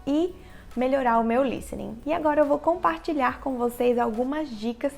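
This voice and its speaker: A woman with a Brazilian Portuguese accent explaining English listening tips directly to the viewer in a clear, instructional vlog narration. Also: high-pitched, moderate pace, soft background music. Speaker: English teacher, channel host. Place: living in the United States.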